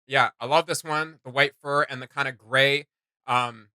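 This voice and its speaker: A man giving casual, enthusiastic reaction commentary as a video host, in an excitable, slangy and emphatic way. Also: mid-range voice, normal speed, smooth, no echo.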